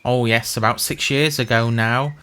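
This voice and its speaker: A man's voice: medium pitch, fairly even intonation, normal speed, fairly bright tone, slight background noise, natural voice. A man voicing a dull, meek male accountant in a comic fantasy story, fussy and easily affronted. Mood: resigned, rueful.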